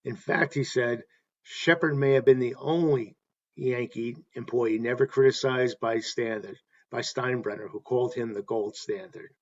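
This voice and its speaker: A man hosting a tribute talk, reading anecdotes in a halting way and correcting himself as he goes. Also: medium pitch, highs cut off. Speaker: host paying tribute to a stadium announcer.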